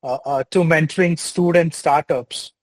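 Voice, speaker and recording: A man's voice: on the high side, steady tone, moderate pace, no echo. A young man hosting an interview, reading an enthusiastic intro and asking questions in an upbeat way. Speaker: host.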